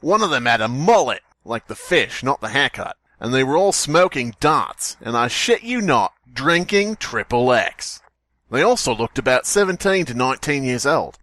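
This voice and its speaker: A man reads a story aloud as a podcast co-host, with a very lively, swinging delivery. He is jokey and sweary and breaks off to mock what he reads. The sound is dry, with no room to it. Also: medium pitch, moderate pace, no room sound. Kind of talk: comic read-aloud with casual riffing.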